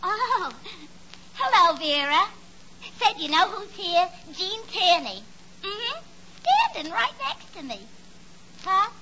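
A woman's voice: very high voice, full character voice. An actress playing a dim, vain, man-hungry young tavern woman in a 1940s radio comedy, brash and gabby. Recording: noisy, muffled 1940s radio recording.

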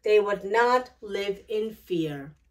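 A middle-aged woman hosting storytime, reading a picture book aloud in an expressive, deliberate way. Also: medium pitch, poor audio. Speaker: children's storytime host. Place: small decorated indoor storytime set.

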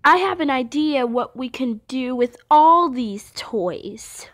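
A woman voicing a cheeky young boy penguin in a cartoon fan dub, who gets excited, turns bratty and stumbles over words. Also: sits high, slow pace, heavily acted. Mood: boastful.

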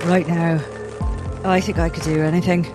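Higher-voiced man playing a tabletop game. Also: flat delivery, moderate pace, music in the background. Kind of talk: casual in-game narration of own character.

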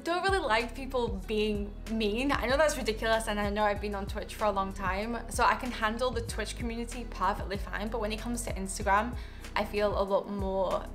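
A woman in her late twenties with a softened Yorkshire accent talks openly to camera from a living-room sofa. She is chatty and candid, and her voice sits at a middle pitch. Music plays clearly behind her. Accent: mixed Yorkshire English. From Yorkshire, England. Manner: chatty, candid, softens to a quiet tone on personal topics. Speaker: streamer and content creator. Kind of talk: casual Q&A vlog to camera.

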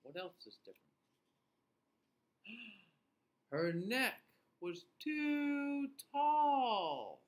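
A middle-aged man reading a picture book aloud to young children, expressively, with questions and pauses for them to answer. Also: very high voice, sing-song, highs cut off. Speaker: children's class host and teacher reading aloud. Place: small indoor room.

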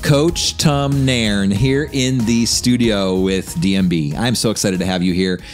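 A man in his forties hosting a podcast in a small studio, talking emphatically into a boom mic. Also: measured pace, faint music behind. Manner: emphatic, gestures a lot while talking. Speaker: podcast host and life coach. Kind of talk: podcast conversation. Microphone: studio mic on boom arm.

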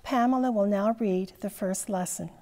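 A woman in her sixties leading a church service as priest, reciting psalms and prayers in a measured, formal way. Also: medium pitch, warm tone. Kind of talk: liturgical reading. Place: large church sanctuary with stained glass. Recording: long church reverb.

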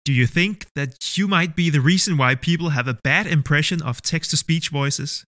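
A man's voice: fairly high pitch, moderate pace, sharp tone, no room sound, clean studio sound. A man hosting a mock talent show in an upbeat, showman-like way. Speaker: host.

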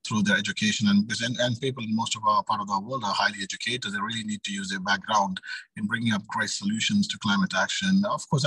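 A man in his thirties from the Middle East, speaking on an online panel in a halting way, repeating words as he searches for phrasing. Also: on the low side, fairly bright tone, husky, dry recording, poor audio. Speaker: youth organization leader, panel speaker. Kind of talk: panel talk, semi-spontaneous explanation. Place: indoor room on a video call.

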